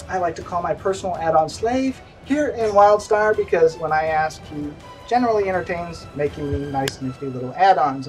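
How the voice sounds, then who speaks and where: A man's voice: a little high, recorded from a distance, low-quality recording. A middle-aged man hosting a casual game stream, chatty and self-deprecating, recorded at home. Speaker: stream host. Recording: voice from away from the mic, faint music behind.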